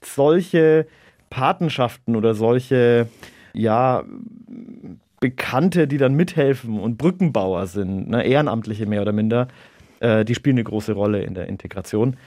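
A man in his thirties, a native Arabic speaker from Germany, answering interview questions in a measured, reflective way with an occasional chuckle. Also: medium pitch, darkish tone, no echo, studio quality. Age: 30s. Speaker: interview guest, local politician.

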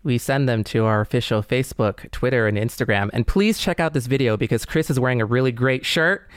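A man's voice: medium pitch, brisk, clear, resonant tone, no echo, studio quality. A man co-hosting a podcast in a casual conversational style. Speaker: podcast co-host.

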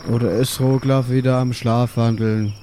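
A man's voice: mid-range voice, level tone, normal speed, mellow. A man playing in an improvised tabletop role-playing game, talking casually in and out of character. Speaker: tabletop role-play player. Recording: clear background noise, chirping night ambience.

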